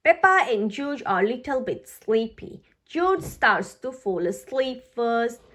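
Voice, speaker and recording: Woman narrator, children's read-aloud, high voice, animated intonation, slow deliberate pace. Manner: expressive, deliberate. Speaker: storybook narrator.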